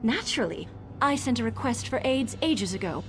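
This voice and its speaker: A synthetic woman's voice playing a brisk, self-assured engineer in a fantasy video game, speaking with precise, technical confidence. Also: a little high, animated, music in the background, close to an everyday voice. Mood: flustered, explaining.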